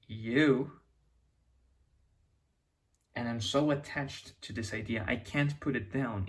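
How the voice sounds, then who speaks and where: A young man with a Mexican accent talking to camera in an unscripted, reflective ramble, often restarting and hunting for words. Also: mid-range voice. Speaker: YouTuber.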